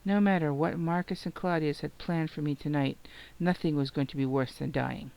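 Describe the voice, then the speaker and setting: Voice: medium pitch, steady tone, normal speed, creaky, a little mellow, low-quality recording. A narrator reading a novel aloud in the first person, dramatically and expressively, acting out the emotion.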